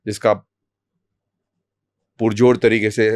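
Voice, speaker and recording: A man speaking as an interview guest, explaining in measured, deliberate short phrases with pauses. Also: lowish voice, flat delivery, a little mellow, dry recording. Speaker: army colonel, interview guest.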